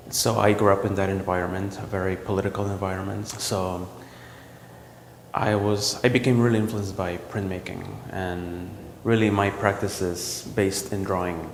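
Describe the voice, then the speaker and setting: A man from El Salvador, a visual artist, talking about his work in a gallery in a reflective, halting way with many ums and restarts. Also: low voice, gravelly, slight background noise. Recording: roomy gallery echo with long reverb.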